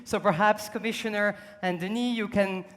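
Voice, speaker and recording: A woman's voice: mid-range voice, fairly even intonation, moderate pace, a bit bright. A woman presenting an awards ceremony on stage, speaking in a poised, formal manner to her guests. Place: large theatre stage. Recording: long hall reverb from a theatre stage.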